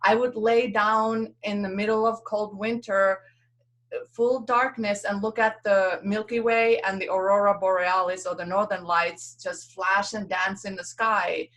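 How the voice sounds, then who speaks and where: A woman's voice: mid-range voice, steady tone, unhurried, a bit bright. Woman from Finland, reflective podcast guest. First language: Finnish. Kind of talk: reflective conversational storytelling.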